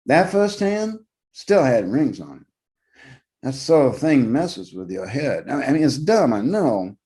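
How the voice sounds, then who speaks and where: A man's voice: medium pitch, sing-song, measured pace, mellow, pitched above the performer's own voice. A man voicing a talkative, blunt security guard near sixty, a retired cop with folksy opinions, in a short story reading. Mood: rambling, uneasy.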